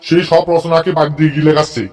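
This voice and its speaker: Exasperated comic schoolmaster, male actor; quick, raised voice pitch, scolding and scheming, music behind. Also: flat delivery, darkish tone, lightly acted. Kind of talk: comic cartoon dialogue.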